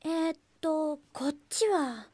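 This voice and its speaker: A woman voicing a bright, impulsive teenage princess in an anime cartoon, girlish, eager and informal. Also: sits high, lively intonation, slow pace, dry recording, clean studio sound. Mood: dreamy, earnest. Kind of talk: Japanese anime acting.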